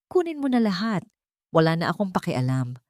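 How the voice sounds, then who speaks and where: A woman's voice: on the low side, very animated, a little fast, a little mellow, no room sound, studio quality. A woman voicing a broken, grieving male tycoon in an audio drama, weary and despairing. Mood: defeated.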